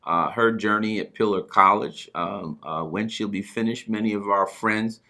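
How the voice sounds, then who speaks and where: A man hosting an informal broadcast, speaking in halting, deliberate phrases as he plugs sponsors and talks with a guest. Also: lowish voice, bright, forceful tone. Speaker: broadcast host.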